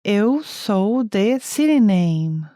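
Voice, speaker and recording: A woman speaks very slowly with a mellow, dark tone. She pronounces each word carefully and deliberately, repeating it for learners. The recording is close and dry. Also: medium pitch, sing-song, no echo. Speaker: native-speaker example voice. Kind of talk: slow model pronunciation for learners.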